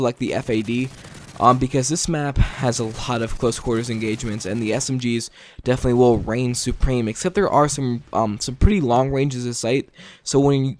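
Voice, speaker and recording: A tired man giving casual gameplay commentary late at night, stuttering often and filling pauses with ums and likes. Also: medium pitch, darkish tone, no room sound. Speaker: gaming video commentator.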